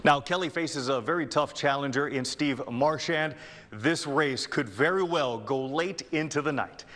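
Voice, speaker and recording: A young man reporting the news on local television, with a crisp, measured newscast delivery. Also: a little high, a bit bright.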